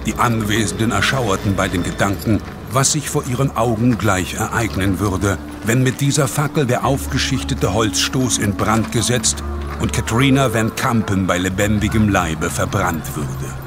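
A man narrating a horror radio play in a solemn, measured, dramatic storytelling style. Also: fairly low pitch. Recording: dramatic music behind the narration.

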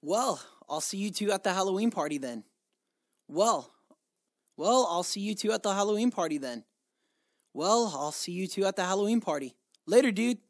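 A man's voice: high-pitched, lively intonation, normal speed, pauses often, dry recording, studio quality. Nino, a man doing an easygoing teen buddy. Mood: breezy.

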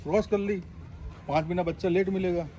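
A man's voice: high-pitched, steady tone, a little fast, warm tone. A man from Rajasthan answering casually as a goat trader, repeating words and phrases for emphasis. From Rajasthan, India. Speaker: goat farm trader giving advice.

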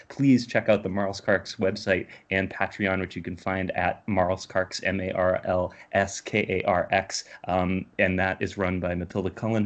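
Guy with a lowish voice, excited casual host of an online poetry night. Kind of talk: casual, enthusiastic event hosting. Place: indoor room on a video call. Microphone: video call.

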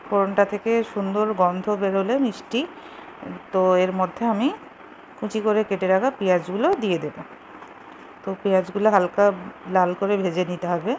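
Female home cook for a recipe video, medium-pitched, simple instructional delivery, casual, recorded with light kitchen noise. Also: steady tone, brisk, long pauses, a little mellow, low-quality recording. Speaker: home cooking show host. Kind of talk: casual step-by-step recipe narration.

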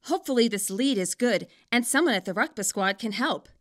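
A woman narrating a scripted story in an expressive, wryly dramatic way. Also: on the high side, a little fast. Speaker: author narrating own fan fiction.

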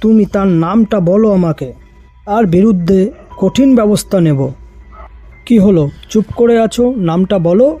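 A man voicing a stern, questioning younger gentleman in an animated cartoon horror story. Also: high voice, normal speed, faint music behind. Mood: stern, alarmed. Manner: firm, questioning.

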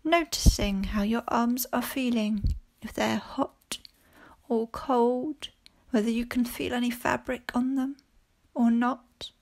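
A woman teacher leading a guided mindfulness body scan for children, speaking calmly and gently in short phrases with long pauses. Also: on the high side, creaky. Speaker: primary school teacher leading a guided meditation.

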